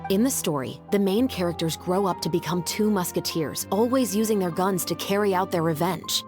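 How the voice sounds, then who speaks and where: A woman's voice: fairly low pitch, brisk, over music, lightly acted. A woman playing a composed, dutiful young patrol officer in a video game, speaking formally and earnestly. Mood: serious.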